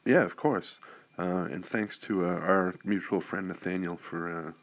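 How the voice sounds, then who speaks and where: A man in his fifties hosting a podcast interview, easygoing and quick to agree. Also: low-pitched. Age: 50s. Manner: easygoing, lots of fillers and agreeing "yeah". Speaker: podcast host. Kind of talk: casual interview.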